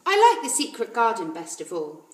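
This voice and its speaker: A woman's voice: lowish voice, sing-song, fairly quick. A woman voicing a polite, confident, precocious four-year-old girl in a storybook, earnest and self-assured. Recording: roomy echo.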